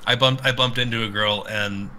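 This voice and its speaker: A man's voice: mid-range voice, fairly even intonation, normal speed, bright, forceful tone, slight background noise. A man co-hosting a casual live podcast, rambling and jokey in a mock-earnest way, swearing freely. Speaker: podcast co-host.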